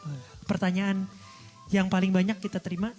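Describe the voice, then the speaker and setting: A man's voice: high voice, little pitch movement, moderate pace, darkish tone, faint music behind. A young man co-hosting a podcast, trading jokes and teasing banter in casual chat. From Indonesia. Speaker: podcast co-host.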